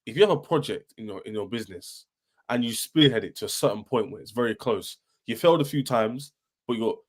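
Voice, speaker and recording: A man's voice: mid-range voice, expressive, fairly quick. A man hosting a football discussion, playing devil's advocate. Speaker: host. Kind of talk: heated football debate.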